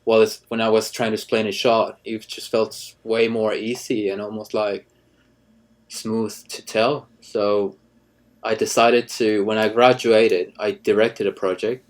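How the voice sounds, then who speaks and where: A man in his thirties from Ecuador, a cinematographer chatting casually in an interview, halting with many restarts and fillers. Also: on the low side. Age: 30s. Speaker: cinematographer guest.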